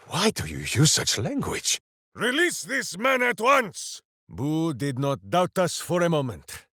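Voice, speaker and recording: An actor voicing a big, brawny, good-hearted and simple warrior in a video game, speaking in a theatrical, declamatory manner with grand, quaint phrasing. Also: male voice, medium pitch, a bit bright, no echo, studio quality, heavily acted. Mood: rousing, bellowing. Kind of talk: video game character voice lines.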